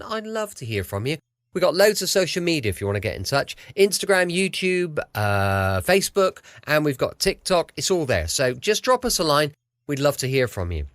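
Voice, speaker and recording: A man's voice: fairly high pitch, sing-song, fairly quick, a bit bright, no room sound, studio quality. A British man chatting casually as he introduces his podcast. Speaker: podcast host. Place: at home.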